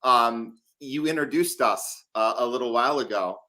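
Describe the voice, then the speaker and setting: A man's voice: mid-range voice, normal speed, sharp tone, rough recording. Male host, conversational interviewer, mid-range voice.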